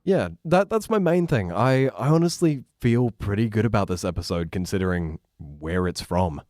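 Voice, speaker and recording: A man's voice: fairly low pitch, animated, normal speed, a little mellow, no echo, clean studio sound. A man as a podcast guest, chatting casually in short, tongue-in-cheek remarks. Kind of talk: casual review chat.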